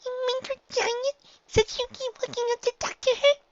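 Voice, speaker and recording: A small young filly from a storybook, speaking in a very high, childlike voice. She is halting and timid, yet curious and blunt. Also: level tone, dry recording, lo-fi sound. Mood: puzzled. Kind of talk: storybook fan fiction character voice.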